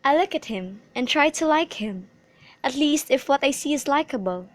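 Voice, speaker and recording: A woman's voice: high voice, animated, normal speed, no echo. A woman in an amateur radio drama, playing a dutiful, guarded teenage daughter who speaks politely.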